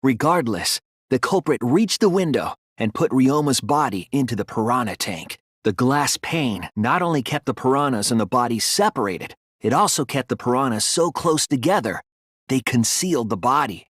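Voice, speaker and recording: Casting: young student detective for anime-style game, husky, earnest, analytical, very expressive pitch. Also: male voice, dry recording, clean studio sound. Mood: grave, explanatory. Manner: deliberate, earnest, explanatory delivery with dramatic emphasis. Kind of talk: dramatic English game dub, deductive monologue.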